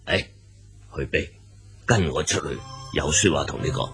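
A man with a Cantonese accent playing a genial but wary older steppe ruler in an audio drama, with a rough husky voice and theatrical drawn-out delivery. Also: rumbling low, a bit bright, soft background music. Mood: apologetic. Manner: slow, theatrical, drawn-out exclamations.

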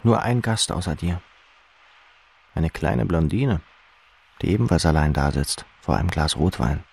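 Casting: male audiobook narrator, low and husky, reading second-person literary prose. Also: unhurried, darkish tone.

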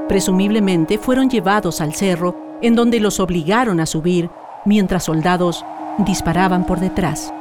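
Chilean woman, radio drama narrator, medium pitch, formal, measured scripted reading, clear music bed.

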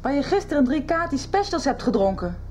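A distrustful teenage girl with a high, very lively voice and a cutting tongue. She speaks in the manner of radio soap acting. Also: fairly quick, soft background music. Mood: cold, accusing. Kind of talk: 1990s Dutch radio drama acting.

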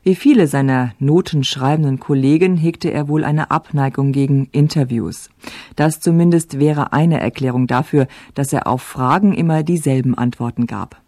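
A radio drama announcer delivers scripted narration. The voice is mellow and relaxed, recorded close and dry with hardly any room sound. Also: mid-range voice, no echo.

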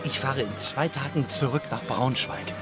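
An actor in a radio play playing a kind-hearted, reasonable travelling merchant who speaks firmly and benevolently. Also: male voice, medium pitch, normal speed, over music, close to an everyday voice. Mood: joyful, benevolent.

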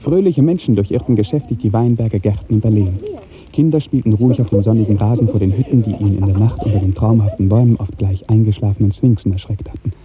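A man narrating a literary radio drama in 1950s style, with measured, expressive storytelling. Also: on the low side, a crowd in the background. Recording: muffled, tinny 1950s radio recording.

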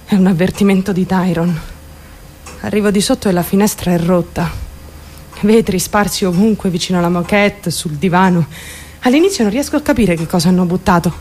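A woman's voice: fairly low pitch, a little fast, slight background noise, lightly acted. An actress playing a troubled, volatile young woman in a dramatic radio monologue, intense and raw as she recounts her traumatic story. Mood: tense, hushed. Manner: intense, swinging between outcry and hushed narration.

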